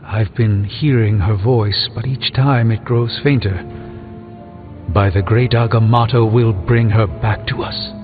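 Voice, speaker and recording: A man's voice: fairly low pitch, fairly even intonation, unhurried, narrow, tinny audio, lightly acted. Man acting pompous sorcerer superhero, thin game audio. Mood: grave, concerned. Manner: pompous, wordy, theatrical formal diction. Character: pompous, erudite adult male sorcerer superhero, proud and wry. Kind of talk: video game character dialogue. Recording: thin band-limited game audio with music behind.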